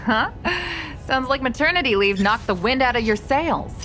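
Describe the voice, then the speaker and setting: A woman's voice: a little high, lively intonation, normal speed, a bit bright. A woman voicing a breezy, teasing young star pilot bantering casually in a video game. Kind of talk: English game dub, bantering dialogue. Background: music.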